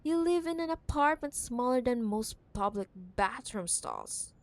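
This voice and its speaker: A woman playing a possessive, condescending wealthy CEO ex-girlfriend in an intimate cyberpunk audio roleplay, teasing and scornful with drawn-out emphasis. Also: on the high side, lively intonation. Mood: exasperated, scornful. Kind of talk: ASMR audio roleplay, intimate dramatic monologue.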